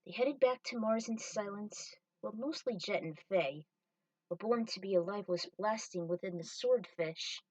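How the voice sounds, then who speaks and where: A woman's voice: mid-range voice, moderate pace, a little mellow, no echo, far from the mic, poor audio. A woman storyteller narrating a piece of fan fiction.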